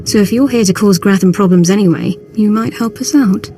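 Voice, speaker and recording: A woman's voice: medium pitch, brisk, warm tone, slight background noise, natural voice. A woman voicing a weary, tough, protective military officer in a video game, frank and no-nonsense. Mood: frank, exasperated. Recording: music under the voice.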